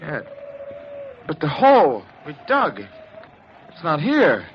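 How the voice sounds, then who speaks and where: A muffled vintage radio horror recording with some noise. a husband who is bossy and dismissive, his pitch leaping about. He sounds insistent, yet halting where his hidden fear shows through. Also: huge pitch swings, heavily acted. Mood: uneasy. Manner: impatient, insistent, halting when rattled. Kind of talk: old-time radio horror drama acting.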